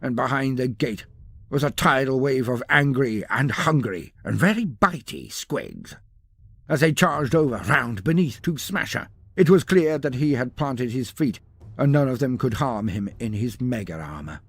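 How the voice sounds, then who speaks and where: A man delivering dramatic scripted narration of a fantasy battle story, theatrical, with deliberate pauses and emphasis. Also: mid-range voice, expressive, breathy edge.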